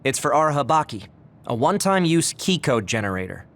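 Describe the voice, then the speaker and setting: An actor playing a practical young male ally in an anime game, matter-of-fact and brisk. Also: fairly high pitch, sing-song, a bit bright. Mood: businesslike. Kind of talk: anime game cutscene dialogue.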